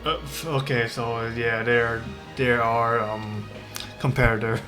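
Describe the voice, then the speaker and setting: A woman streamer giving casual, halting reactions as she comments on a game. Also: a bass voice, steady tone, over music. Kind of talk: casual live gameplay commentary.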